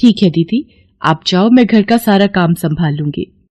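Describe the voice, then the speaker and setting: A woman voicing a meek, gentle, dutiful young daughter-in-law in a cartoon melodrama, speaking politely and submissively. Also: medium pitch, animated, unhurried, a little hiss or hum, close to an everyday voice. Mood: obedient.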